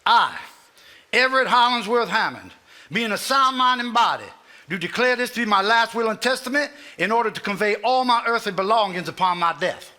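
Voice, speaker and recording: Man acting formal Southern lawyer, high bright voice. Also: lively intonation, full character voice. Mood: solemn, formal. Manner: formal, measured, declamatory. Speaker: stage actor. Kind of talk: live stage drama, formally reading a will aloud.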